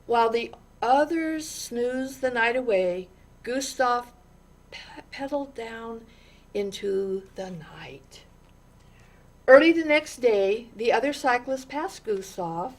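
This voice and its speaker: Slow, higher-pitched woman reading a children's story. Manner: expressive, deliberate, with dramatic pauses and stresses. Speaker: storytime reader. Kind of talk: read-aloud children's story narration.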